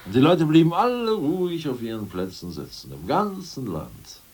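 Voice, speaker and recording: A man playing a crude, scheming ousted party functionary in a radio play, boastful and plotting, muttering one moment and loud the next. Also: mid-range voice, huge pitch swings, normal speed, breathy edge, distant mic. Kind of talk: 1980s radio play acting.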